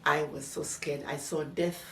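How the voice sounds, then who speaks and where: An older woman recounts her memories in an interview with emphatic storytelling. Her voice is on the low side, caught from a little way off in an echoey room with faint noise. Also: steady tone, measured pace, far from the mic. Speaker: interviewee, former nurse. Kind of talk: personal reminiscence in interview.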